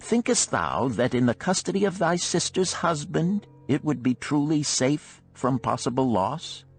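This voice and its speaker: A man voicing a wise, cautious older gold lender of ancient Babylon in a storybook, solemn and deliberate in archaic speech. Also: fairly high pitch, animated, husky, faint music behind, heavily acted. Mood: approving, cautioning. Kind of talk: storybook character reading.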